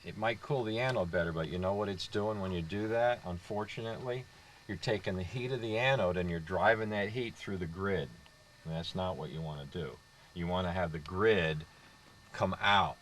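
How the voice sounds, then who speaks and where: Low voiced guy filming, opinionated about amp design, bit of background hiss. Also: moderate pace, a bit bright. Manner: opinionated, explains technical points firmly. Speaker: vlogger filming a radio hobbyist. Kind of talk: casual technical chat.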